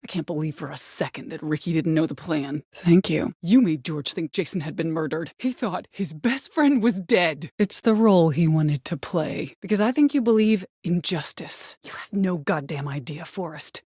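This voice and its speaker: An actress playing a vengeful, bitter middle-aged mother in a video game, commanding and threatening, with deliberate delivery and cold control. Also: deep voice, a little mellow, husky, no echo, clean studio sound. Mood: bitter, accusing. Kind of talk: dramatic video game voice acting.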